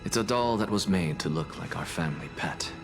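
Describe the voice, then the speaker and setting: An actor playing a proud, sardonic scholar in a video game, dry, theatrical and weary of being teased. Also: male voice, low-pitched, expressive, fairly quick, music in the background. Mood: grudging. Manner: dry, haughty, exasperated, theatrical.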